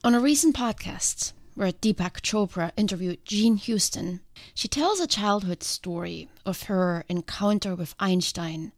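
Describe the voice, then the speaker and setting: A woman's voice: mid-range voice, measured pace, no room sound, clean studio sound. Congested woman hosting a reflective podcast. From outside the country she now lives in. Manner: calm, earnest and reflective. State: congested from a sinus infection. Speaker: podcast host. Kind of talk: reflective solo podcast monologue.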